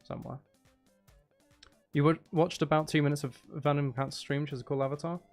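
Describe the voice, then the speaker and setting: A man's voice: a little high, flat delivery, a little fast, soft background music. A man giving casual livestream commentary on a video game, talking to himself with mutters and exclamations. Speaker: game streamer.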